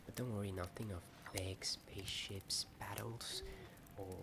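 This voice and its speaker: Low whispering man, noisy ambience behind. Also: steady tone, a little slow. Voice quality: soft whispering, often unvoiced. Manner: hesitant, rambling, self-deprecating. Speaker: ASMR video host. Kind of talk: whispered ASMR chat. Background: added ambience sound.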